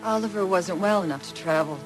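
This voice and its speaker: A woman's voice: mid-range voice, fast, natural voice, rough recording. Distraught, defensive wife played by a woman, music behind. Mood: guarded. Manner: pleading, protesting. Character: distraught, defensive forty-year-old wife and mother. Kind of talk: TV crime drama dialogue.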